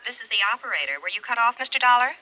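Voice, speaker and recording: Obliging vintage radio telephone operator, brisk animated delivery, thin filtered line. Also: female voice, fairly high pitch, dull audio. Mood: brisk, helpful. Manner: brisk, polite. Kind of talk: 1950s radio drama acting.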